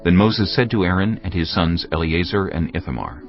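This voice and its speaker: A man narrating scripture dramatically, with solemn, deliberate and emphatic delivery. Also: sits low, lo-fi sound. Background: prominent background music.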